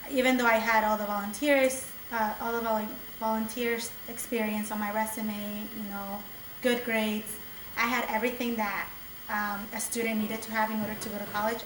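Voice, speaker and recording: A young woman from El Salvador gives a personal testimony to a campus meeting, earnest and conversational, stumbling into restarts. Her voice sits a little high but stays fairly level. She is picked up from across an echoey room. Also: a little hiss or hum. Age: young adult. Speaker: student speaker at a community meeting. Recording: echoey room recorded from a distance.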